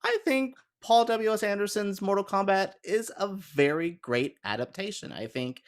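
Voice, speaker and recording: A man's voice: high voice, lively intonation, normal speed. A man talking as a guest panelist in a casual film chat, with an enthusiastic, informal fan's way of speaking. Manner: enthusiastic, informal fan talk.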